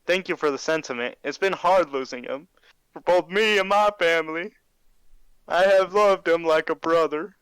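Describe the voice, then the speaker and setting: A man's voice: fairly high pitch, moderate pace, fairly bright tone, clean tone, dry recording, poor audio. A man playing a folksy, matter-of-fact interview guest in a 1920s-style radio show. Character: folksy man recounting his undercover time in a hate group.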